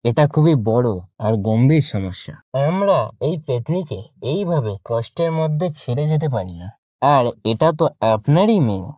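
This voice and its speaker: A man's voice: a little high, big pitch swings, normal speed, darkish tone, no room sound, muffled recording. A man voicing a grave, compassionate temple priest in a cartoon, solemn and advising. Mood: grave concern. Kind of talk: cartoon story voice acting.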